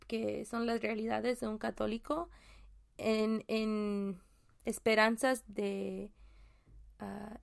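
A woman from Mexico hosting a casual solo faith podcast, talking in a halting way with many fillers and restarts. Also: a little high, gravelly. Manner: chatty, laughs at own jokes. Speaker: podcast host.

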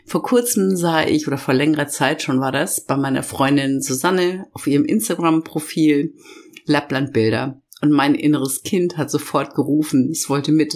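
A middle-aged woman hosting a self-help podcast, her voice hoarse from a cold, speaking slowly and emphatically as she lists feelings and addresses the listener directly. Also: sits low, a little mellow. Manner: slow, emphatic listing of feelings. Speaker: podcast host and inner-child coach. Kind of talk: warm guided podcast talk.

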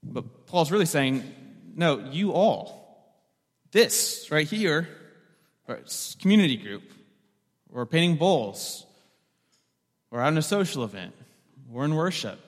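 A man's voice: a little high, lively intonation, takes it slowly, pauses often, in a large hall. A man preaching a sermon as the pastor, conversational and joking, often repeating words as he restarts a thought.

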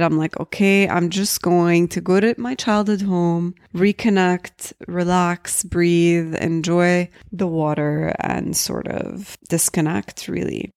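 A young woman, hosting a solo podcast in a chatty, candid way and mixing Arabic phrases into her English, her voice a little rough from an early morning. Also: lowish voice, a little mellow. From Egypt. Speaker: podcast host.

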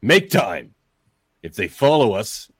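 Guy playing a gruff tough superhero, low voice, short lines, radio play, real dry sound. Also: big pitch swings, slow pace, fairly bright tone, no room sound, heavily acted. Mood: gruff, terse. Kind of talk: live radio-play read of a superhero script.

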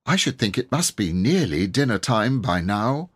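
A man voicing a calm, practical older sister in a storybook reading. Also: on the low side, moderate pace, breathy edge, pitched above the performer's own voice.

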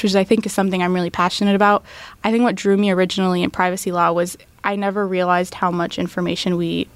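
A woman's voice: on the low side, smooth, dry recording. A woman in her twenties, a law student answering interview questions thoughtfully, with frequent ums.

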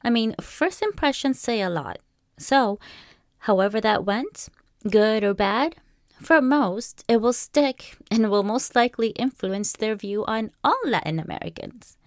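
A young woman born in Peru, hosting a solo podcast in a calm, measured and reflective way. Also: a little high, no echo, studio quality. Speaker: podcast host. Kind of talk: scripted solo monologue.

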